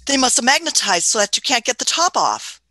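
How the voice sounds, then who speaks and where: A woman plays an elderly, curious adventurer for a radio play, with a bright, forward voice. The delivery swings up and down, full of fussy little hums and questions. The sound is dry and close. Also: fairly high pitch, very animated, fairly quick, no echo. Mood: urgent. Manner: hemming, questioning, punctuates with hmm and uh. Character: elderly Doctor, inquisitive, fussy time-travelling adventurer.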